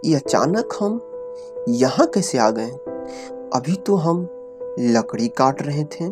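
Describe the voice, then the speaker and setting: Guy doing a rustic cartoon bumpkin, slow drawl that swings up and down, music playing behind. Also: mid-range voice, very animated. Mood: bewildered. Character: brash royal minister and bewildered giant woodcutter. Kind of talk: Hindi cartoon dialogue.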